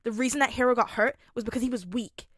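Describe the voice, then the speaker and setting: A woman's voice: a little high, fast talker, a bit bright, dry recording, studio quality. A woman voicing an arrogant, aggressive teenage boy with a sneering, boastful delivery in an audiobook story. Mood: contemptuous. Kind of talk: audiobook character acting.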